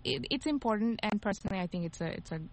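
A woman's voice: mid-range voice, expressive, quick pace, slight background noise. A woman from Bangladesh, a talk show guest, giving an earnest opinion with many hesitations. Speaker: panel guest. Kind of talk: casual opinion on a panel.

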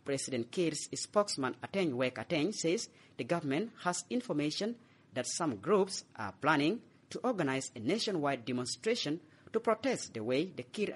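A man's voice: a little high, slightly rough. A man filing a scripted radio news report as correspondent. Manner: measured reporting.

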